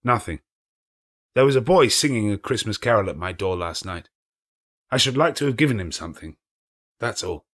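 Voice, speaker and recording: A man voicing an old male miser, excitedly reliving childhood memories between laughing and crying. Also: lowish voice, moderate pace, pauses often. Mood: wistful.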